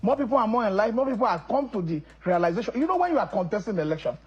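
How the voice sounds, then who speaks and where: A man's voice: high-pitched, sing-song, very fast, slightly rough, muffled recording. A middle-aged man from northern Nigeria with a Nigerian accent, a political guest in a heated interview who insists on his point and often repeats words as he presses it.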